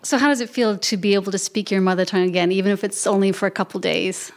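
A woman radio host introduces the segment, then asks her guest brisk, conversational questions. Her voice is pitched in the middle range and sounds easy. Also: fairly even intonation. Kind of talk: radio interview.

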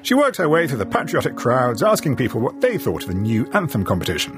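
A man presenting a scripted radio news feature, reading his narration with lively delivery. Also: medium pitch, quick pace, over music.

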